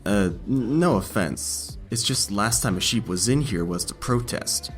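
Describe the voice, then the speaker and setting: A man playing a sleepy, laid-back badger fast-food cashier with a lazy, casual drawl in an audiobook. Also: lowish voice, lively intonation, soft background music. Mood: mellow, friendly. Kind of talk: audiobook character acting.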